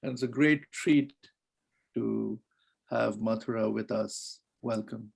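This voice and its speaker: A man hosting a poetry reading over a video call, giving measured, formal introductions. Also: lowish voice, steady tone, vocal fry, darkish tone, slightly rough. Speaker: host. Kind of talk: introducing poets.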